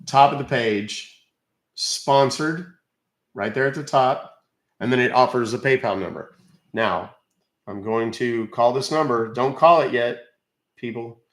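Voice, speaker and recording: A male live-stream host who chats casually and explains each step to his viewers. His voice is mid-pitched and sounds echoey, as if recorded in a bare room. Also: measured pace, pauses often, a bit bright. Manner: explanatory, addressing viewers directly. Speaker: livestream host.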